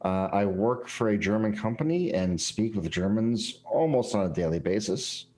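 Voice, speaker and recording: A young man with a fairly low voice, talking casually about his game character. He hesitates often, full of fillers and restarts. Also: lively intonation, moderate pace, a little mellow, a little hiss or hum. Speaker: player.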